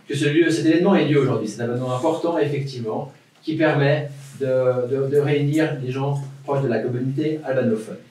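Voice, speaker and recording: A man's voice: mid-range voice, a little mellow. A man giving a formal welcome speech as a local official, with hesitant repetitions and rhetorical questions. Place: large hall at an event. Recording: echoey hall, distant mic.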